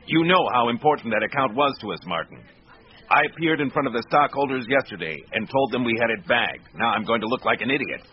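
Male actor as stern executive, medium pitch, lively intonation, scolding, authoritative, radio drama, muffled sound. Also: fast talker, lightly acted. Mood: angry, cold. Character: stern, unforgiving male company boss. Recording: dull lo-fi radio recording, faint noise.

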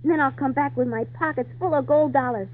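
A woman's voice: high voice, fast talker, natural voice. A woman playing an earnest, plucky runaway boy with folksy, breathless speech in an old-time radio drama. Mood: earnest, hopeful. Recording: tinny, hissy 1940s radio recording.

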